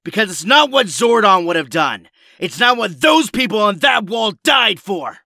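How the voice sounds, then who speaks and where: A man's voice: sits high, sing-song, moderate pace, sharp tone, no room sound, clean studio sound, exaggerated voice. A man playing an older, weary but principled resistance captain in an audio drama, grave and commanding. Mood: urgent, commanding.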